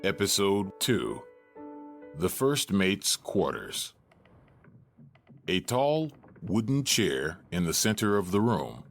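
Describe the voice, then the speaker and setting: A man serves as narrator, reading scripted stage directions for an audio drama. His voice is very low and his pace is markedly slow. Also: very animated, soft background music.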